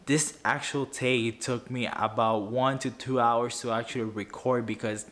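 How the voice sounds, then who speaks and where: A man's voice: medium pitch, steady tone, unhurried, a bit bright. A young man whose first language is Spanish, giving earnest money advice to camera, often tripping over words and restarting. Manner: earnest, stumbling with many restarts. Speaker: YouTuber. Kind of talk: unscripted finance advice to camera.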